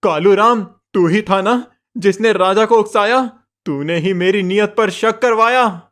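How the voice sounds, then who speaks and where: पुरुष की आवाज़ में धीमा, दुखी गरीब ग्रामीण युवक. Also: male voice, sits high, a little slow, a bit bright. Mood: accusing. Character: Ballu, poor honest village man, burdened and despairing. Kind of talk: Hindi moral story cartoon voice acting.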